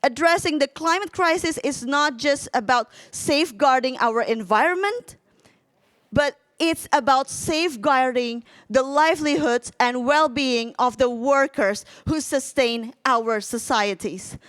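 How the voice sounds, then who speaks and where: A woman's voice: sits high, a bit bright. A woman delegate from Indonesia giving a formal, earnest prepared speech to a union congress at a podium microphone. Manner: earnest, rallying delivery. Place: large congress hall.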